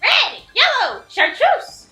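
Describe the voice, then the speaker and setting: A man voicing a dim, deadpan male paper bag commentator in a high squeaky falsetto, listing colors. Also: slow, reverberant, faint music behind, exaggerated voice.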